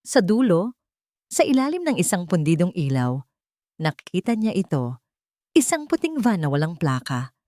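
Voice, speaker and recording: A woman storyteller with a Filipino accent narrates a scripted drama. Her voice sits low and the sound is very dry. She delivers the story with emotion and suspense. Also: a little mellow, no room sound.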